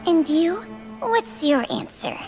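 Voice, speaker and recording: A woman's voice: high voice, big pitch swings, slow pace, heavily acted. A woman voicing an ancient female snake spirit in a video game, wry and world-weary, with a dry, teasing manner. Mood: weary, resigned. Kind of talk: scripted game dialogue. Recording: music behind the voice.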